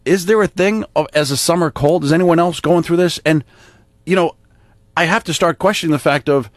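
A man's voice: a little high, brisk, no echo, studio quality. A man radio host chatting casually, wondering aloud in a conversational way. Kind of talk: casual talk segment.

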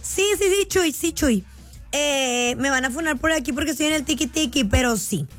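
A young Mexican woman streaming, chatting in an animated, joking, rambling way. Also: sits high, moderate pace. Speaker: streamer reading viewer voice notes. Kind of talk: casual livestream chat.

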